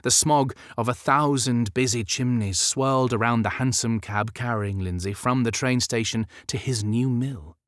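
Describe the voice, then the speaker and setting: A man narrating historical romance fiction as an audiobook, in measured, expressive storytelling. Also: mid-range voice, no echo, clean studio sound.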